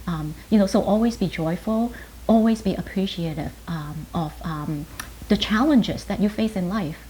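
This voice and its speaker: A woman in her fifties from Hong Kong, a native Chinese speaker, reflecting on her life in an earnest, halting way in short phrases. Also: medium pitch, dark tone, poor audio. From Hong Kong, later New York. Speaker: pharmacist and writer being interviewed. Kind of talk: reflective personal interview answers. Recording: hissy background noise.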